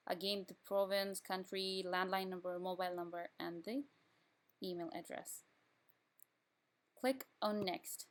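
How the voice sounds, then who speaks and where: A young woman, a student from Pakistan whose first language is Urdu, talks the listener through filling in an online form. Her pitch sits in a middle range. She explains each field in plain, step-by-step instructions and often restarts her sentences. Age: young adult. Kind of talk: screen-recorded tutorial walkthrough.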